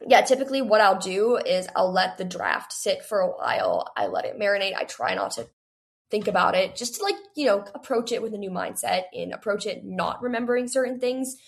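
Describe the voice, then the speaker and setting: Creaky-voiced young woman chatting. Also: mid-range voice, animated, brisk. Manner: rambling, filler-heavy, peppered with "like" and quoted self-talk. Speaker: guest author. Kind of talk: casual interview chat about writing.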